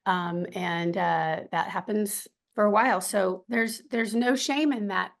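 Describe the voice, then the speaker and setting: A middle-aged woman with a raspy voice telling her personal story as an interview guest, earnest and faith-filled, with many ums and some throat clearing. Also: mid-range voice, unhurried. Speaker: podcast guest.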